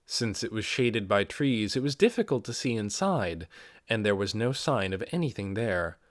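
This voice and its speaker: A man reading a fantasy novel aloud as scripted audiobook narration, with expressive storytelling delivery. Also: on the low side, moderate pace, dry recording, clean studio sound. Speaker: amateur audiobook reader.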